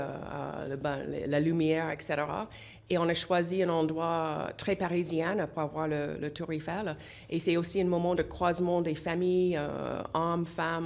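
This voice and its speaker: Low-voiced English-accented woman, halting. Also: a little mellow. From English-speaking country. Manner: halting, many fillers and restarts. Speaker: association founder, guest. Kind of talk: radio interview. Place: radio studio.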